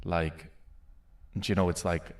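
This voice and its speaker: A man's voice: sits low, flat delivery, measured pace. A man from the Philippines hosting a karaoke livestream, chatting casually and slangily with his viewers as bros and boys. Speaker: livestream host and karaoke singer. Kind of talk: casual livestream chat between songs. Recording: music playing loudly behind the voice. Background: loud karaoke backing music.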